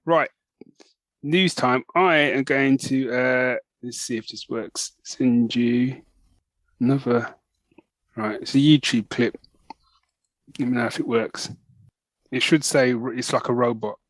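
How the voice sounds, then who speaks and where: A man's voice: medium pitch, steady tone, takes it slowly, pauses often, no room sound. A British man co-hosting a podcast in casual chat, talking loosely and laughing often. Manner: chatty, laughing, lots of "you know what I mean". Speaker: podcast co-host.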